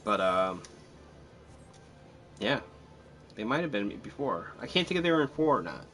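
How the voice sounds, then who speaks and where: A man's voice: lowish voice, very animated, normal speed, fairly bright tone. A man casually commentating on his live game stream, chatty and self-deprecating, thinking aloud as he plays.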